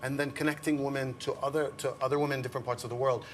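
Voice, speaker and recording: A man's voice: mid-range voice, rapid-fire. Chatty designer man, Arabic native. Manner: relaxed, chatty, laughs often. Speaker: fashion designer guest. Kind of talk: morning TV interview. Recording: TV studio with faint background noise.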